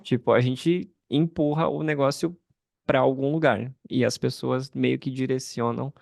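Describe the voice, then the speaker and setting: A man's voice: mid-range voice, fairly even intonation, a little slow, gravelly, a little mellow. A man hosting a casual conversational interview, speaking informally and thinking aloud as he goes. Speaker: podcast host, interviewer and content creator.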